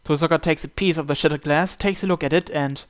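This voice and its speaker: Man reading a visual novel aloud, higher-pitched voice. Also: steady tone, fast talker, smooth. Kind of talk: narration read aloud from a game script.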